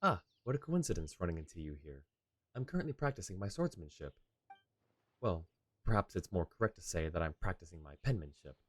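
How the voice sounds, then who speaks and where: A man's voice: low voice, big pitch swings, brisk. A man voicing a polite, talkative young swordsman from a game, with a courtly and lightly playful manner. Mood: refined, playful. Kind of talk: reading game dialogue in character. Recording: game music behind.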